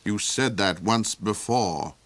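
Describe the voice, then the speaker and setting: A man voicing a duke's sly agent, measured, dry and unruffled. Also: fairly low pitch, fairly bright tone. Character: sly, calm male agent to a duke.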